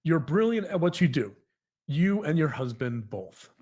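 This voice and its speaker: A man talking earnestly to a co-host in a halting, repetitive, emphatic conversational monologue. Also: a little high, very animated, darkish tone, husky. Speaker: podcast co-host, psychologist.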